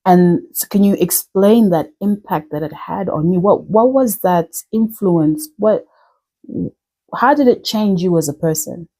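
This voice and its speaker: A woman's voice: fairly low pitch, measured pace, mellow. A woman hosting a radio interview, asking questions with enthusiasm. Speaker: radio host.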